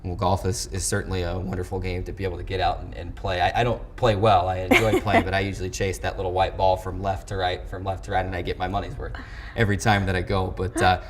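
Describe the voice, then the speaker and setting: A male sports show host with a very low voice conducts a conversational interview. He phrases things in a wordy, halting way, repeating words, with faint noise in the studio behind him. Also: little pitch movement. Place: television studio.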